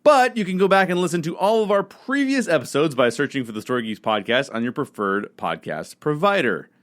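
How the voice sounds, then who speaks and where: A man's voice: fairly high pitch, big pitch swings, brisk. A man speaking as a podcast co-host, reading a scripted promo in a conversational way to listeners.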